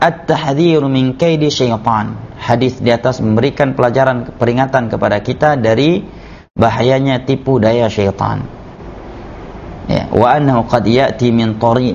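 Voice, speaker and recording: A man, an Islamic teacher, giving a measured religious study lecture that is punctuated with "ya" and "nah.". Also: mid-range voice, gravelly, a little mellow, slight background noise. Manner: measured, repeats "ya" and "nah" as he explains. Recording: muffled lo-fi lecture recording.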